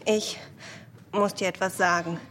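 An actress playing a shy confidante in a radio play, halting and tentative as she tries to confess. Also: on the low side, steady tone, a bit bright, slight background noise. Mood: hesitant.

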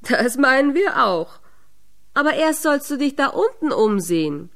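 A woman's voice: sits high, very animated, unhurried, pitched above the performer's own voice, heavily acted. A woman voicing gullible, eager villagers in a storybook reading, with emphatic exclaiming. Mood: stern. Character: gullible, eager villagers and shepherd from a fairy tale.

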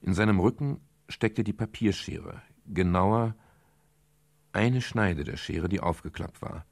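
An actor playing a pensive, matter-of-fact private detective in a German radio crime drama, speaking in a measured, sober way. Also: male voice, low voice, creaky, no room sound, exaggerated voice. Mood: sober, tense. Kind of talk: scripted first-person radio crime narration.

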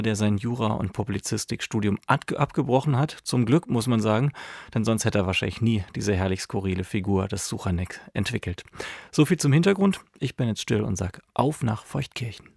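A man's voice: fairly low pitch, vocal fry, no room sound, studio quality. A man presenting a radio drama introduction as host, in a chatty, informal way.

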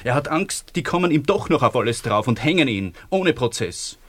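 A world-weary Austrian man in a radio drama. His mid-pitched voice is wry and tender, that of a tired lover in a ruined postwar world. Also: very animated, moderate pace, a bit bright. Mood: frustrated, confiding. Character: weary, wry man in love after the war. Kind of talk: radio play acting.

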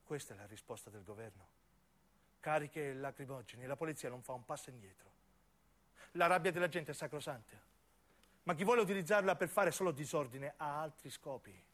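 A man playing a grave, troubled male activist, speaking in a brooding, measured way in a radio play. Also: a little high, a bit bright. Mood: grave, foreboding.

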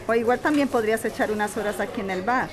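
Actress as blunt bar waitress amid crowd chatter. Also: female voice, a little high, quick pace, lightly acted. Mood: matter-of-fact. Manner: frank, plain-spoken. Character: blunt, down-to-earth working bar waitress, married migrant. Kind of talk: audio fiction podcast acting. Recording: bar crowd noise behind.

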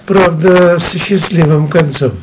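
Audible noise and clicks sit behind an older man presenting on radio. His voice is a little high, and he introduces a drama reflectively. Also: steady tone, unhurried. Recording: noisy recording with clicks.

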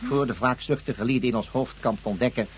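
A man's voice: mid-range voice, fairly quick, natural voice. A man acting a formal, wordy tribesman with a florid manner in a Dutch science fiction radio drama. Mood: scornful, earnest. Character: formal, wordy tribesman with grumbling scorn for tyrant. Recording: slightly noisy radio play recording.

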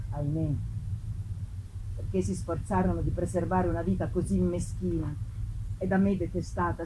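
A woman giving a theatrical literary reading, emphatic and full of pauses. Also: on the low side, noisy background. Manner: theatrical, emphatic, pausing, repeating phrases. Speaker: actress reading a gothic novel. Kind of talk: dramatic literary reading with live music. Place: live festival performance. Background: live music.

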